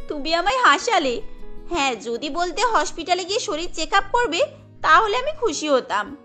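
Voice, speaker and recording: A woman plays a spoiled rich daughter in a cartoon story. The voice is very high and sharp, bossy and full of scorn for those beneath her. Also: very animated, a little slow, faint music behind, heavily acted. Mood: dismissive. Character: haughty, rich, money-proud young daughter in a cartoon.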